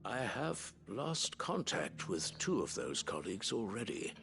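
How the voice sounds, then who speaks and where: A man's voice: on the low side, big pitch swings, unhurried, exaggerated voice. An actor playing a formal, deferential male chief steward in a video game, speaking with courtly gravity. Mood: earnest. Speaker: game character. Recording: game audio with clear music under.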